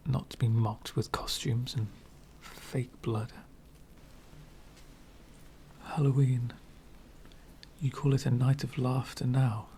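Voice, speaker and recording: A man playing an elegant, centuries-old vampire gentleman, speaking softly and half whispering in a refined, wistful ASMR roleplay. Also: mid-range voice, a little hiss or hum. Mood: solemn. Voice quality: soft, breathy whispering. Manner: refined, wistful, slow and deliberate.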